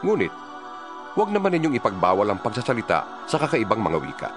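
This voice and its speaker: Male scripture reader, solemn and emphatic, animated intonation, music bed underneath. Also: fairly low pitch, fast. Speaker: Bible reader. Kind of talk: dramatized scripture reading.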